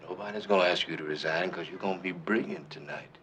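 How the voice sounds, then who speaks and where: An actor playing a gentle, encouraging preacher in a screen drama, talking in a coaxing, affectionate way. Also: male voice, low voice, fairly even intonation, fast, slight background noise. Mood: reassuring, affectionate. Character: gentle, encouraging preacher man reassuring his brother. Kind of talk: naturalistic screen drama dialogue.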